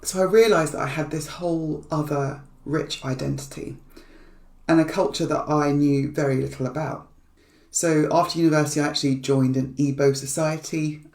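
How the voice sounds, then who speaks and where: A woman's voice: sits low, fairly even intonation, moderate pace, a little mellow, echoey room. A woman, born in Nigeria and raised in England, giving an earnest, thoughtful personal reflection with small restarts, recorded remotely indoors. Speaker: charity fundraising head giving a reflection.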